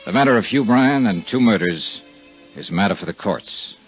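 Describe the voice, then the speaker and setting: Actor playing a terse American radio detective, lively low pitch. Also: male voice, moderate pace, loud music behind the voice, natural voice. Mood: brisk, dry. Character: shrewd, terse male insurance investigator, world-weary. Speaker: lead actor and narrator. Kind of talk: 1950s radio detective drama acting. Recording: muffled 1950s radio recording.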